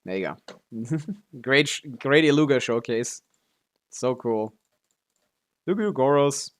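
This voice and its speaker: Man, game streamer, casual chatty commentary, laid-back, mid-pitched voice. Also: slow pace. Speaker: live streamer playing a video game.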